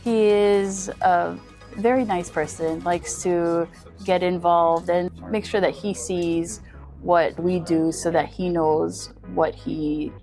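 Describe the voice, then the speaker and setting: A woman's voice: on the low side, steady tone, slow pace, a little mellow, soft background music. A woman working as an emergency dispatcher, talking informally in an on-camera interview.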